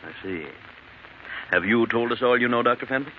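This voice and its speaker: A man's voice: medium pitch, expressive, moderate pace, natural voice. An American man acting a probing, controlled district attorney's investigator in a 1940s radio mystery. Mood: probing, firm. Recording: muffled, noisy 1940s radio broadcast.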